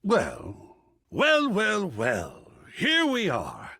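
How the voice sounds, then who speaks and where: An actor playing an effusive, romantic living artwork in a video game, with florid, rhapsodic, theatrical delivery. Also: male voice, sits high, wildly swooping pitch, gravelly, bright, forceful tone, husky, no room sound, clean studio sound, exaggerated voice. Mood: thoughtful, gracious. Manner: florid, rhapsodic, savouring pauses, swooping dramatically. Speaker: voiced dateable character in a dating simulator game.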